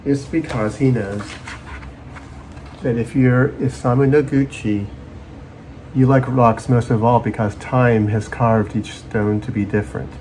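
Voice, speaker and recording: A man's voice: medium pitch, steady tone, measured pace, darkish tone, slight background noise, rough recording. A man in his fifties, a children's author, presenting his book from a home office.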